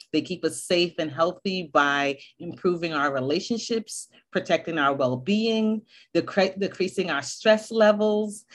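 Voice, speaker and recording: A middle-aged woman school counselor, born in Barbados and raised in Boston, speaking in an earnest, measured way as a podcast guest. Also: on the low side. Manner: earnest, measured, listing points. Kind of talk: podcast interview.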